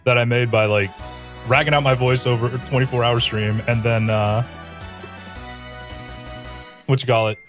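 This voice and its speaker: A man's voice: mid-range voice, fairly even intonation, normal speed, pauses often, music in the background. A man co-hosting a live stream, joking around, laughing often and doing silly voices. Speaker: streamer co-host. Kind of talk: casual stream chat over gameplay.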